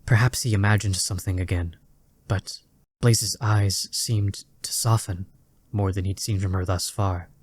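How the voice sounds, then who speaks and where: A deep male narrator reads a dramatic fantasy story from a script. His delivery is deliberate, with weighty pauses between phrases. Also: fairly even intonation, dry recording, studio quality.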